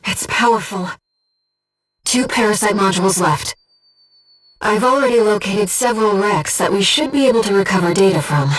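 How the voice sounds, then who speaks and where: A woman playing a calm, devoted disembodied companion who guides a pilot in a video game, speaking in a measured, earnest, gently formal way. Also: medium pitch, distant mic, lightly acted, poor audio. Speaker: mission support companion. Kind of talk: scripted video game voice acting.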